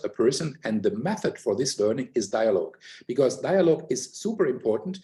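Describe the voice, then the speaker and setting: An older man speaking in a thoughtful, explanatory way, pausing often with fillers in a conversation. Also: medium pitch, mellow. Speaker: academic guest in a conversation. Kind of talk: reflective conversational talk.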